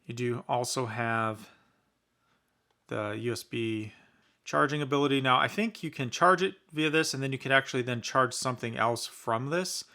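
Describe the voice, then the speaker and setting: A man's voice: medium pitch, normal speed, pushed and bright. A man giving casual, conversational commentary as he reviews a product in an unboxing. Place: indoors in a basement.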